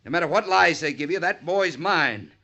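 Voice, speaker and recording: An actor playing a bitter, stubborn middle-aged rancher in an old-time radio western, gruff and commanding with folksy grammar. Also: male voice, a little high, expressive, moderate pace, pushed and bright. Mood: angry, defiant. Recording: muffled, noisy vintage radio recording.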